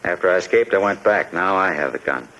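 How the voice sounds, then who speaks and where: An actor playing a haunted, distrustful immigrant who escaped a prison camp, desperate and anguished, in a 1950s radio crime drama. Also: male voice, low-pitched, a bit bright. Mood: menacing. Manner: distrustful, anguished, halting repetition under strain. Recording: muffled, noisy 1950s radio recording.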